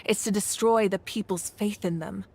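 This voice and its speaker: A woman playing a composed, authoritative war deity and leader in a video game, speaking in a measured, serious way. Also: mid-range voice, steady tone, a little mellow, slightly rough. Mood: composed, grave. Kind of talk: video game character acting. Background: music.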